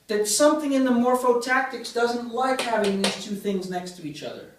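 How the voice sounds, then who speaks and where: A man's voice: very high-pitched, normal speed, distant mic, slight background noise. Man lecturing in an echoey hall. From Greece. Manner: explains step by step, asks the class questions. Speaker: linguistics lecturer. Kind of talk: university lecture. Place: large lecture room.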